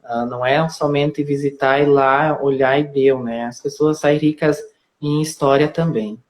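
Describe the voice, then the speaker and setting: Calm southern brazilian man guide. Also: mid-range voice, a little slow. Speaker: tour guide and guest. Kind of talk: casual live-stream interview.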